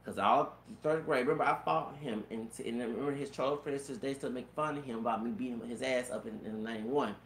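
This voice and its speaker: A man in his thirties with a feminine-sounding, gravelly voice rambles through a personal story. He stumbles over repeated words and curses. The recording has a roomy echo with faint background noise. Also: medium pitch, brisk, few pauses, fairly bright tone. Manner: repetitive stammered restarts, profanity, frequent "you know what I'm saying".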